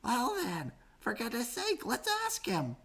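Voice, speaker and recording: A woman voicing a small, earnest young male kangaroo in a storybook, with an eager, high, childlike voice. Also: huge pitch swings, measured pace, slightly rough, heavily acted.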